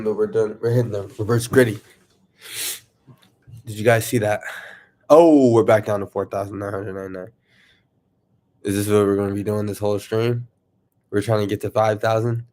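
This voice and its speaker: A man casually chatting to viewers on his livestream, rambling and excitable, sniffling from allergies. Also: fairly low pitch, fairly quick, long pauses. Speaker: YouTuber livestreaming.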